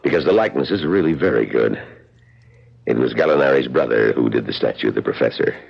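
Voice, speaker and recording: An actor playing a courteous, morbid old man who looks after a murder museum, in unhurried radio drama style. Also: rumbling low, lively intonation, slight background noise, full character voice. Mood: gravely ominous. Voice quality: gravelly, husky. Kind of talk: 1970s radio drama acting. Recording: dull lo-fi old radio broadcast.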